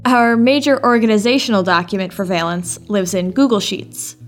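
A woman's voice: mid-range voice, normal speed, faint music behind. A woman co-hosting a podcast in a conversational way, candid and self-deprecating with playful drama. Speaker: podcast co-host and production organizer.

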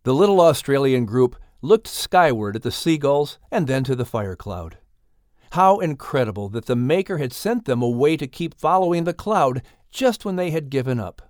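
A man reads a children's storybook aloud with an animated, rising and falling pitch, in a dry, close studio sound. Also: moderate pace, no room sound. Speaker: audiobook narrator. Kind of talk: scripted narration.

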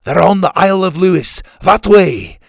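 A man voicing a feisty, indignant, cantankerous fellow in a storybook, exclaiming excitedly. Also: high-pitched, quick pace, vocal fry, dry recording, clean studio sound, pitched above the performer's own voice, exaggerated voice. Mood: urgent.

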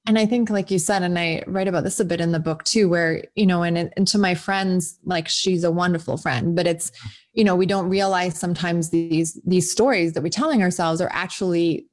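A woman's voice: on the low side, steady tone, normal speed. A woman in her forties, an author talking as a guest in a casual interview, chatty and rambling with many restarts. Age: 40s.

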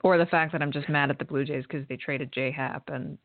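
A woman's voice: low-pitched, fairly even intonation, a little fast, slight background noise. A woman co-hosting a podcast in casual chat, teasing her partner with incredulous questions. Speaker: podcast co-host. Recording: tinny phone-like line.

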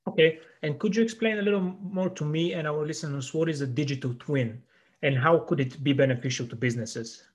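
This male interviewer speaks in a slightly high voice. He is friendly and hesitant, fills gaps with "uh" and "you know", and restarts his questions as he goes. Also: a little fast, slightly rough. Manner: friendly, hesitant, with many fillers. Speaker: interviewer, podcast host.

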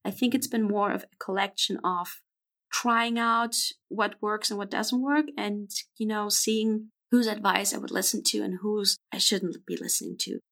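A woman's voice: medium pitch, fairly even intonation, normal speed, gravelly, fairly bright tone. A woman in her twenties, a podcast guest talking frankly and reflectively in a casual interview. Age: 20s.

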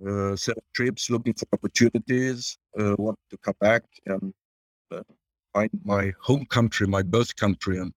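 An older man, answering interview questions in a reflective, halting, conversational way. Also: on the low side, no room sound. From born in Tanzania, grew up there and in Sweden. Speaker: podcast guest.

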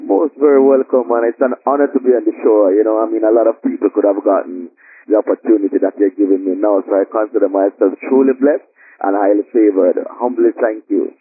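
Jamaican guy, low husky voice, rambling and humble, sounds like a phone line. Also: steady tone, a little fast, no room sound. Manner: warm, humble, rambling with many fillers. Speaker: guest drummer. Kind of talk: casual interview chat. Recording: tinny phone-line audio.

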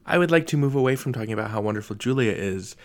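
Very animated man hosting an interview. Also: medium pitch, racing. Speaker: podcast host, interviewer. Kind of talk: casual, playful interview chat.

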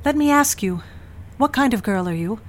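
A woman's voice: fairly high pitch, animated, normal speed, natural voice. An actress playing a cold, controlling middle-aged mother in an audio drama, cutting and accusing in a melodramatic way. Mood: scornful, accusing. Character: cold, scornful, controlling mother of a grown daughter.

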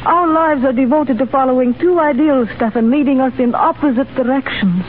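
A woman's voice: high voice, a little fast, slight background noise, lightly acted. An actress playing an earnest, guarded Russian woman torn between love and duty in 1930s radio drama, speaking formally and carefully. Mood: troubled, tender. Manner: formal, careful diction without contractions. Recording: tinny 1930s radio broadcast with music.

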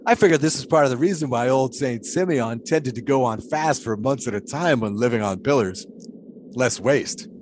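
A male actor plays an eccentric 1920s flagpole sitter in an audio drama, a folksy man who loves to philosophize. He speaks at a middle pitch in long, pious musings full of old-time idiom. Background ambience sits clearly behind him. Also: normal speed, slight background noise, lightly acted. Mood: earnest, instructive.

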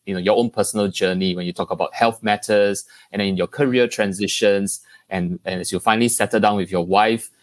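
A man's voice: on the low side, steady tone, a little fast, no room sound. A man hosting a podcast interview, polite and formal, thanking his guest often. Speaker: podcast host.

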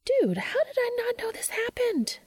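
A woman voicing an excitable, affectionate young woman who gushes with enthusiasm, as character dialogue in an audiobook. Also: extremely high pitch, moderate pace, no room sound, voice pushed up, full character voice. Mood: gushing excitement. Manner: gushing, enthusiastic.

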